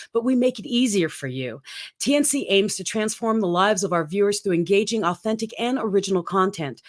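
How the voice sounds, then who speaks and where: A woman hosting a live-stream talk show, chatting in an upbeat way and laughing often. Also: medium pitch. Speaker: host. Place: home in Colorado.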